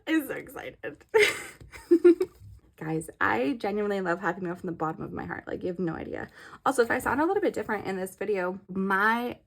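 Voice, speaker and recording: A woman's voice: medium pitch, animated, brisk, creaky. A young woman chatting casually as a vlogger, giggly and gushing, her throat a little scratchy from allergies. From California. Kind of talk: casual chat.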